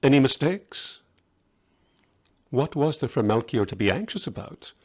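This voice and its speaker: A man's voice: mid-range voice, lively intonation, brisk, a little mellow, husky. A man reading his own short story aloud as a scripted audiobook narration.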